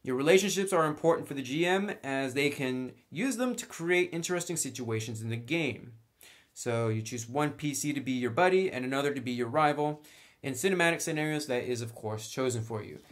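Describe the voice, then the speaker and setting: A man's voice: medium pitch, lively intonation, fairly quick. A man hosting a casual tabletop roleplaying tutorial, talking informally and thinking aloud as he goes.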